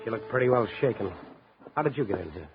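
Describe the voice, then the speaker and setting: An actor playing a world-weary, wry newspaper reporter, narrating and acting in a 1950s radio drama. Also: male voice, mid-range voice, expressive, fast, background noise, close to an everyday voice. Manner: hard-boiled, wry. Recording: muffled vintage radio recording with music.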